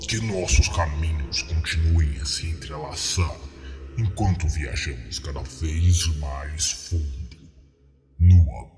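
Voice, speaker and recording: Very deep, solemn cult priest. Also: male voice, exaggerated voice. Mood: reverent, grave. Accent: Brazilian. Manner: slow, breathy, ceremonial intoning. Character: solemn, sinister cult priest addressing devoted followers. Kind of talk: scripted horror roleplay monologue. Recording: echoing, with music underneath. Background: music.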